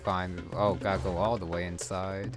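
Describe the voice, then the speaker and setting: A man's voice: low voice, level tone, moderate pace. A man giving casual gameplay commentary, muttering and thinking aloud as he plays. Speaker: gamer. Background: game music.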